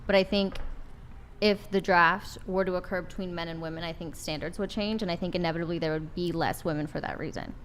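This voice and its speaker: A young woman guest on a panel discussion, measured as she makes her point and checks it lands. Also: lowish voice, steady tone, a little hiss or hum.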